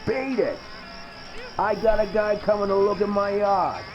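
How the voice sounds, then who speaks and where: An actor playing an irritable, sneering trailer-dwelling welder and artist who slings crude insults in a comic video game. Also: male voice, high-pitched, measured pace, muffled recording. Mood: exasperated. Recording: loud music behind, from game livestream.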